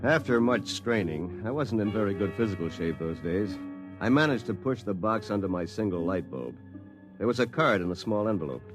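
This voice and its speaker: A self-assured, successful attorney, played by a male actor, narrating in a fairly low voice. He is wry and confiding, in old radio drama style, with clear music underneath. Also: expressive, brisk, lightly acted. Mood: wry recounting. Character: self-satisfied, successful male attorney recounting his story. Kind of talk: 1950s radio drama first-person narration. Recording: 1950s radio broadcast, music behind.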